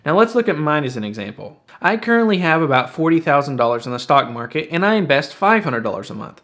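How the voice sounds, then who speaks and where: A man's voice: on the high side, sing-song, fast, fairly bright tone. A man in his twenties hosting an explanatory finance video, speaking plainly and casually and repeating phrases for emphasis. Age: 20s. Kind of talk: explanatory investing commentary to viewers.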